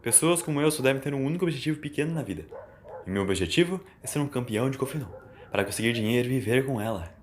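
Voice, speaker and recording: A man's voice: mid-range voice, expressive, rapid-fire, a little hiss or hum, close to an everyday voice. A man voicing a poor, homeless young man, earnest and stubbornly determined, in an audio story. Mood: earnest, resolute.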